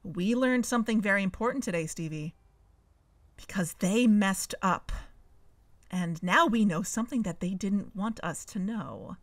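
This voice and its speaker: A woman's voice: mid-range voice, unhurried, dry recording, natural voice. A woman playing an earnest, suspicious amateur investigator hosting a podcast in a scripted audio drama. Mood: earnest, deliberate. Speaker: podcast host.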